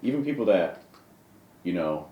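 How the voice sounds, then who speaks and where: A man's voice: low-pitched, big pitch swings, normal speed, dark tone, slight background noise. A man hosting an interview, chatting casually and leaning on "like" and "you know.". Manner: loose, leans on "like" and "you know". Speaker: host. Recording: roomy echo.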